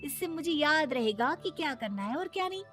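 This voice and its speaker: Woman as a high-pitched flustered young daughter-in-law. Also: expressive, brisk, music in the background. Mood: chatty, eager. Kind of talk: cartoon story voice acting.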